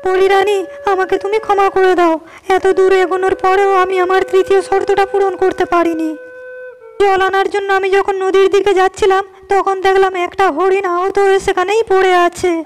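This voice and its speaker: A woman's voice: very high voice, level tone, a little fast. A woman voicing a humble, kind-hearted young shepherd in a storybook cartoon, speaking earnestly. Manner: earnest, pleading.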